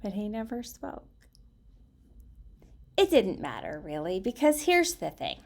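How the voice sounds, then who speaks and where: A woman storyteller reading aloud in an expressive, theatrical way, with deliberate phrasing and hushed emphasis. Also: mid-range voice, creaky.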